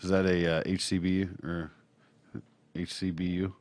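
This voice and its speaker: A man's voice: sits low, level tone, normal speed, a little mellow. An American man co-hosting a podcast in casual banter, reacting with crude, sarcastic jokes. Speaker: podcast co-host.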